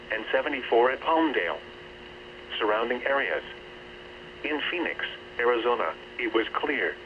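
A dull radio transmission with clear noise carries a man's synthetic voice. He has a mid-pitched delivery and reads scripted weather information formally and steadily, as a weather radio announcer. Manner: formal, measured synthetic reading. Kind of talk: scripted weather forecast and observations.

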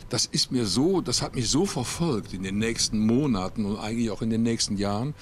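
An older man answers questions thoughtfully, pausing often to search for words. His voice sits at an ordinary middle pitch. He speaks into a handheld mic out on a rooftop. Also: slight background noise. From Cologne, Germany. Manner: thoughtful, searching, with frequent hesitations. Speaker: singer-songwriter. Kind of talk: reflective interview answers. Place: rooftop terrace outdoors. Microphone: handheld interview mic.

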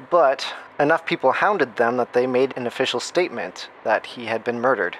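A man's voice: mid-range voice, a bit bright, slight background noise, lightly acted, poor audio. A man acting a chatty, flippant hacker friend leaving a recorded message in a horror audio drama. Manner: rambling, flippant, self-interrupting.

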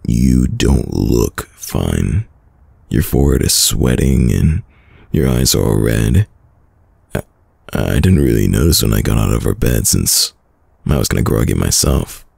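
A caring boyfriend acted by a man speaks softly in an ASMR roleplay, close and very dry. The voice is heavily fried and husky, rising and dipping expressively as he gently frets and soothes. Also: lively intonation, dry recording, heavily acted. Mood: concerned, gentle. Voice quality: deep, gravelly, husky. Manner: soft, slow, soothing, hesitant. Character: caring, worried, doting young boyfriend. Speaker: audio roleplay voice actor.

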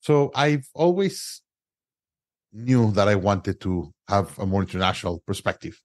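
Mexican-accented man, low dry voice. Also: animated, a little slow, no room sound. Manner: non-native grammar, frequent restarts and "uh" fillers. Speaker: guest entrepreneur. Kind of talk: conversational interview storytelling.

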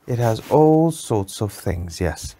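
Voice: mid-range voice, expressive, slow pace, mellow, slight background noise. An adult telling an improvised bedtime story to a child, patiently and in simple phrases.

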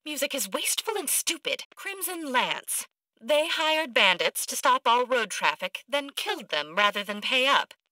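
An actress voicing an eccentric, arrogant woman scientist in a video game, blunt and socially awkward, with clipped, precise, deadpan delivery. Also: high voice, moderate pace, dry recording, exaggerated voice, rough recording. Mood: deadpan, impatient.

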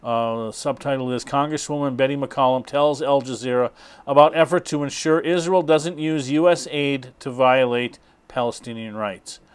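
A middle-aged man introducing and reading aloud an article in a halting, hesitant way. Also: medium pitch, smooth. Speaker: presenter.

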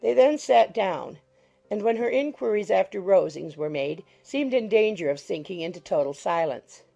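Creaky, very mellow woman narrator. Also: mid-range voice, expressive, muffled recording, faint music behind. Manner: measured, formal reading. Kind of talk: scripted audiobook narration.

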